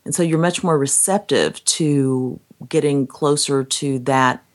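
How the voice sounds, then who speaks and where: A woman with a low voice co-hosts a relaxed podcast conversation. She speaks earnestly and reflectively and laughs easily between her thoughts. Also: a little slow. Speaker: podcast co-host. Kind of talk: casual chat.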